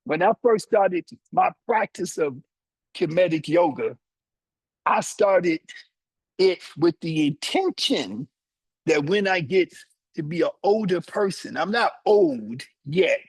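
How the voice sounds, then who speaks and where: A man in his seventies leads a yoga class, speaking in a husky, slightly high voice. He unhurriedly talks students through their body, pausing often between short phrases. The sound is dry and close. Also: lively intonation, no room sound, rough recording. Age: 70s. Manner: slow, deliberate, explanatory, with many short pauses. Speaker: yoga teacher. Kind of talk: guided yoga instruction with teaching asides.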